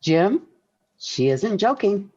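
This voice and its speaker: An actress playing a gentle, sensible, middle-aged American wife and mother in a 1940s radio sitcom, speaking in a motherly way. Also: medium pitch, big pitch swings, slightly rough, full character voice. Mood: puzzled, patient. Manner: measured, motherly. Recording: dull vintage 1940s radio recording.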